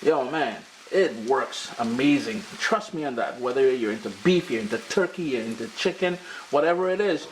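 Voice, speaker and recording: A middle-aged man with a Caribbean accent chats casually as he cooks, his voice a bit stuffy from allergies. His pitch sits in the ordinary middle range. Faint frying and sizzling noise sits under his voice. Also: lively intonation, normal speed, rough recording. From Caribbean island. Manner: upbeat, chatty, slangy. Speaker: cooking show host. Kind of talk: casual cooking demonstration. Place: home kitchen.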